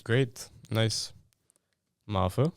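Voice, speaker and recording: A man's voice: lowish voice, extremely slow, dry recording. A man hosting a casual podcast interview, asking playful, teasing questions. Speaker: podcast host.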